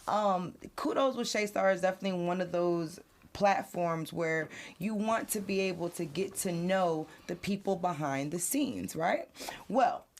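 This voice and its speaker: An upbeat woman hosts a casual interview, her voice sitting a little low. She talks with emphasis and likes to repeat words for stress. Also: a little mellow. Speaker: host.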